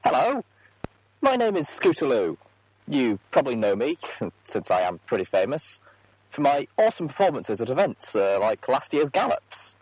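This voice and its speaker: Man playing a rattled female pony executive, earnest official address, halting, rough husky tone, dry audiobook sound. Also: medium pitch, big pitch swings, a little mellow, no echo, rough recording. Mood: self-assured. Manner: halting, official script slipping into frustrated asides. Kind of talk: audiobook character monologue.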